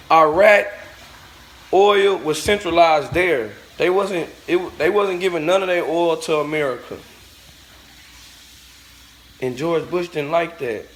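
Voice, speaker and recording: A man with a high voice delivers a fired-up vlog rant, blunt and profane. He lectures his viewers directly, driving each point home like a sermon. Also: a bit bright, poor audio. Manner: blunt, profane, preachy, talks straight at the viewers. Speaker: vlogger. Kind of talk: ranting monologue to camera.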